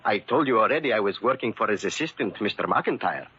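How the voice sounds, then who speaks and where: A bright, muffled old radio recording carries an emphatic shoemaker. He is an excitable husband whose theatrical voice swings widely in pitch. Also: fast, slight background noise. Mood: proud, insistent. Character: excitable, hard-working Greek immigrant shoemaker and husband. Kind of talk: 1940s radio drama acting.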